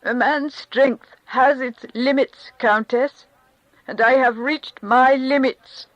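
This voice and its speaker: A woman's voice: sits high, fairly even intonation, takes it slowly, dry recording, muffled recording, voice pushed up. A woman voicing a thin-voiced anguished official. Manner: strained, pleading, raised. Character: grieving humiliated middle-aged male official. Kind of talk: audiobook character reading.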